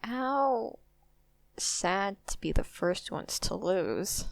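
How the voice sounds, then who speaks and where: A woman voicing an aloof, self-assured young cartoon contestant with a cool, unhurried delivery. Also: mid-range voice, lively intonation, gravelly, dry recording, full character voice.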